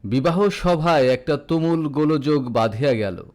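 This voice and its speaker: A man narrating a literary story from a script, with a formal, measured storytelling delivery. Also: fairly high pitch, expressive, darkish tone. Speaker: audiobook narrator.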